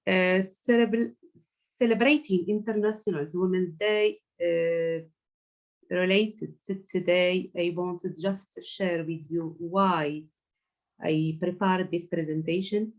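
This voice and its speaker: A woman's voice: fairly low pitch, steady tone, takes it slowly, rough recording. A woman whose first language is Arabic, hosting an online festival talk over a video call, speaking carefully with many fillers and restarts.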